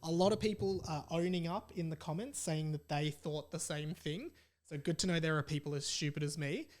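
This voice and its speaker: A man's voice: fairly high pitch, brisk. Aussie guy doing a solo podcast, casual jokey chat, repeats himself for emphasis. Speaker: podcast host.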